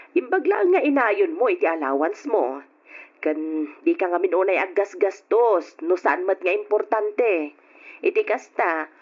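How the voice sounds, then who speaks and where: A woman's voice: on the low side, breathy edge, exaggerated voice. A woman playing a kindly aunt in a radio drama, encouraging and reassuring. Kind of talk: Ilocano radio drama acting. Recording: dull lo-fi old radio recording.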